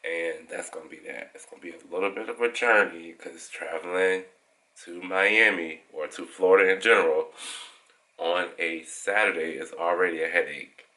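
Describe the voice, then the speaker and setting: A man's voice: low voice, moderate pace, rough recording. A young man, a flight attendant, chatting casually and joking at his own expense in a travel vlog. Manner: chatty, self-deprecating, joking. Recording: loud background noise from travel ambience.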